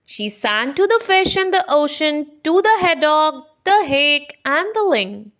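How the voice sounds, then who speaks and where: Woman, children's story reader, high pitch, slow pace, rhyming narration. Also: big pitch swings, fairly bright tone. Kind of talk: picture book read-aloud, rhyming narration.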